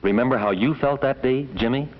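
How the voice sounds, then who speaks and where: A man narrating a 1950s educational film as a stern, measured voice reproachfully addressing schoolboys. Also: mid-range voice, natural voice. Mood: grave, reproachful. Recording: dull vintage 1950s film soundtrack.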